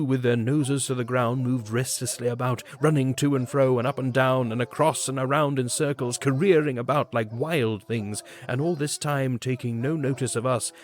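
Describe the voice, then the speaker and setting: A man's voice: medium pitch, darkish tone. A man giving a grave, theatrical reading of a literary story as an audiobook narrator. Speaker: audiobook reader. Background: background music.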